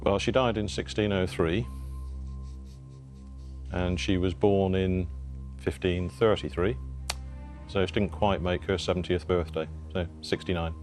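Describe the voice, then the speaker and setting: A man on a resident quiz team, calmly working out his answer aloud. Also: sits low, unhurried, pauses often, faint music behind. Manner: calm, works answers out aloud.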